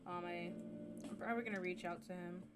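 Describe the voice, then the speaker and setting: A woman's voice: fairly low pitch, fairly even intonation, fast, over loud music. A young woman from Jamaica hosting a podcast in casual chat, talking openly and reflectively and leaning on a lot of likes and you knows. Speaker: podcast host.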